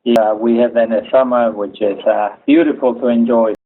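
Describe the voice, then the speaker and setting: A man from Chile giving a webinar lecture, speaking haltingly with many "uh" fillers. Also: mid-range voice, steady tone. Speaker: engineering lecturer. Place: home office. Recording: tinny phone-like audio.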